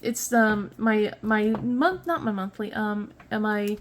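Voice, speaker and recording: A woman's voice: mid-range voice, slow, a little hiss or hum. A woman in her thirties chatting casually as a crafting vlogger, rambling with many fillers and restarts, a little sniffly. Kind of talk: casual chat while diamond painting.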